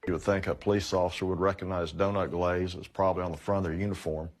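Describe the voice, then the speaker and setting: A man's voice: low-pitched, level tone, fast. A man giving wry commentary in a played clip.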